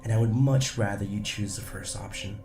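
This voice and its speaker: A lowish male voice played by an actor gives a steady space-station lawman who talks in a measured, dryly pointed way. It is roomy and echoing, with music underneath, like a sci-fi radio play. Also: recorded from a distance, natural voice. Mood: grimly determined. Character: steady, determined male security agent making an arrest. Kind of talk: scripted science fiction audio drama.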